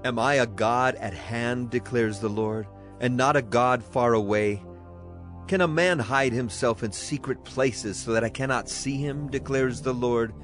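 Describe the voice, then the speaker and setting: A man's voice: medium pitch, unhurried, a bit bright. A man gives a solemn, declamatory dramatized reading of scripture as a Bible narrator. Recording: clear music behind the voice. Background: music.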